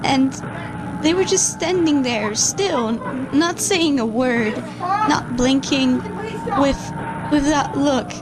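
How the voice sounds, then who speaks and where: A woman voicing a shaken, guilt-ridden scientist who records a lab log for a horror series, halting and stammering anxiously. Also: sits high, lively intonation, heavy background noise. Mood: anxious, pleading. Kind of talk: audio log monologue in an analog horror series.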